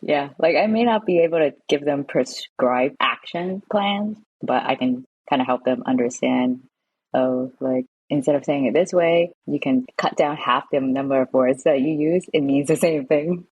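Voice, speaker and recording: A woman's voice: a bass voice, normal speed, darkish tone, husky, highs cut off. A woman from San Francisco, a podcast guest, explaining her work in a casual, loose way with plenty of "kinda" and "like". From San Francisco, USA. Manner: explanatory, uses fillers like "kinda" and "like". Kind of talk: casual interview answers. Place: home or office, evening.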